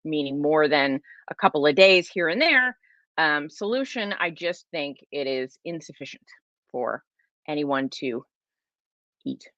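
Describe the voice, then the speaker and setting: A woman's voice: low voice, animated, a little slow, a bit bright, no echo, dull audio. A woman in her forties hosting a casual livestream Q&A, chatty and emphatic, with lots of fillers and restarts. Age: 40s. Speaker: host.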